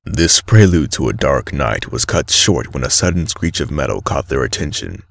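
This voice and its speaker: Very deep, gravelly male narrator. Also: lively intonation, moderate pace, no room sound. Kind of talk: scripted story narration. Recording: music behind the voice.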